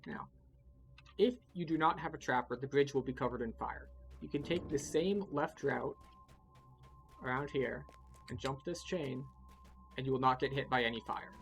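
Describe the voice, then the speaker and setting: A man's voice: medium pitch, moderate pace, pauses often, over music. A man giving step-by-step playthrough commentary as a video game guide, explaining things in an instructive way.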